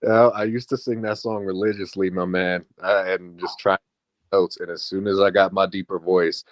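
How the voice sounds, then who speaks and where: A man being interviewed about his music, talking in a relaxed, laid-back way. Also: sits low, normal speed, no room sound, lo-fi sound. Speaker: guest musician. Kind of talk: casual interview chat.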